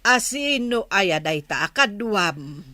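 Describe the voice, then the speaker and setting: A woman acting as a suspicious mother in an audio drama, questioning her son in a slow, prying, scolding way. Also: medium pitch, sing-song, pushed and bright, no room sound, exaggerated voice.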